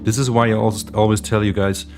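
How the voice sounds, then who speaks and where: A man's voice: lowish voice, level tone, fast. A young man from Switzerland hosting a casual livestream, chatting with viewers and reading their chat questions aloud. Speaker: livestream host, day trader. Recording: meditation music playing behind the voice.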